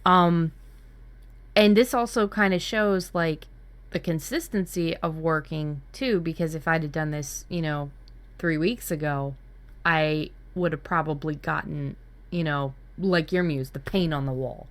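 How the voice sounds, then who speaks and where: Lower-pitched woman chatting informally. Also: unhurried. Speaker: writing workshop co-host. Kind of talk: casual workshop chat, recounting own brainstorm.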